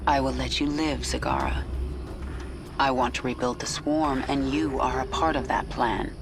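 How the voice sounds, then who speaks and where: A woman's voice: extremely low pitch, expressive, moderate pace, background noise. Cold, commanding alien swarm queen. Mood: stern. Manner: imperious, terse, controlled. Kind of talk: dramatic video game acting.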